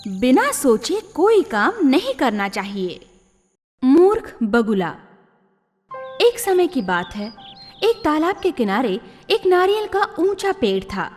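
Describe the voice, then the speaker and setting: A woman storyteller reading scripted children's tales in a deliberate, expressive manner. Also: high voice. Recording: long reverb, music behind.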